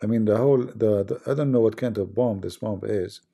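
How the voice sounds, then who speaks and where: A man's voice: fairly low pitch, brisk, gravelly, warm tone. A man with a Middle Eastern accent hosting a casual live stream, talking bluntly and mocking what he reads. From Middle East.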